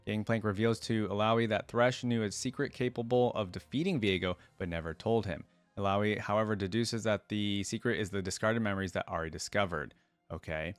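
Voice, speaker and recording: A man's voice: fairly low pitch, fairly even intonation, a little fast, clear, resonant tone, dry recording, soft background music. A man hosting a reaction video, reading written lore aloud and breaking off for casual commentary. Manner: casual asides while reading.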